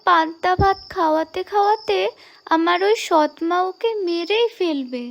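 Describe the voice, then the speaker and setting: A woman voicing a gentle, dutiful young married village woman in a cartoon folk tale, girlish and earnest. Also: very high voice, moderate pace, vocal fry, muffled recording. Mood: anxious.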